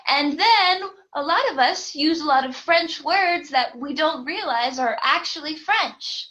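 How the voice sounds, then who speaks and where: An upbeat woman hosts a relaxed language podcast, her high voice bright and ringing. She talks slowly and laughs easily between questions, heard over a video call. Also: muffled recording. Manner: bubbly, laughs often. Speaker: host and English teacher. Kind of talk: casual podcast lesson chat.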